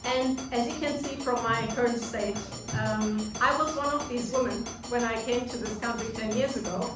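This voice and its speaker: A woman in her thirties from Germany with a German accent gives an earnest awards-evening speech, pausing often on fillers. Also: a little high. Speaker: award speaker. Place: large echoey function room. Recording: echoey room, distant mic, music behind.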